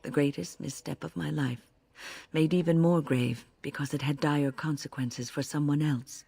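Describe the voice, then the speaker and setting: A woman playing an elderly mage in a video game, a regretful and reflective former mentor with a measured delivery. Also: low-pitched, steady tone, gravelly, warm tone, slightly rough, no echo, faint music behind, full character voice. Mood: reflective, grave. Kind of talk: video game character dialogue.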